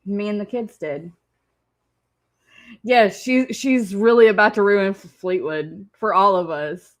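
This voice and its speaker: A woman's voice: medium pitch, takes it slowly. A woman hosting a reaction show, mocking the clip in casual, teasing commentary and laughing often.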